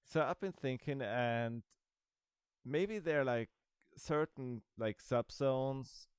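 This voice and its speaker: A man's voice: mid-range voice, slow, clean tone, no echo. A man giving casual, rambling commentary over a game he is playing, with dry, sarcastic asides. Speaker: gaming video creator.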